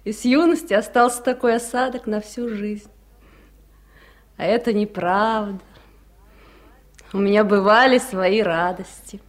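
An actress playing a caring mother who appears young in memory, gentle and solicitous, in a 1970s radio drama. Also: mid-range voice, fairly even intonation, a little slow, long pauses, lightly acted. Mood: reassuring.

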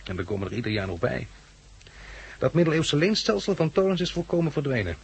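A man's voice: a little high, sing-song, fast talker, slightly rough, full character voice. An actor playing a resolute, reassuring male survivor and narrator in a 1960s Dutch radio drama. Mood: earnest, hopeful. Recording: noisy 1969 radio play, vehicle sounds.

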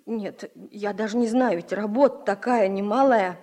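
An actress playing a kind, hesitant village housewife in a radio play, speaking in a folksy way. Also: female voice, mid-range voice, moderate pace, warm tone, close to an everyday voice. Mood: hesitant, protesting. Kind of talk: Soviet radio play acting.

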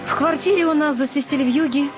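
Whimsical wife and mother played by an actress, slightly high voice, wry storyteller, radio drama, music behind. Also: quick pace, lightly acted. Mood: wry storytelling. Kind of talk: radio play acting and narration.

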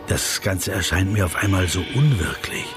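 An actor playing a polite, self-conscious writer in a German radio play, probing hesitantly and adding dry, ironic asides. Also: male voice, low-pitched, expressive, moderate pace, loud music behind the voice. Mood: wry, musing. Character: wry, polite, self-conscious male writer interviewing a celebrity. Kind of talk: German radio play dialogue and inner narration.

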